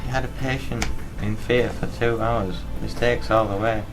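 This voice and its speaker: A man's voice: on the low side, steady tone, normal speed, music in the background, close to an everyday voice, low-quality recording. A man playing a disapproving, stubborn hospital patient in a radio drama. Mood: gossipy.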